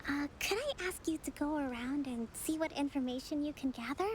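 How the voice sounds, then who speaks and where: An actress playing a gentle, gracious young woman leader in an anime game, speaking with soft, composed formality. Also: sits high, a little fast, a little hiss or hum, close to an everyday voice. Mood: quietly concerned. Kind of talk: scripted anime game voice acting. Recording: music behind the voice.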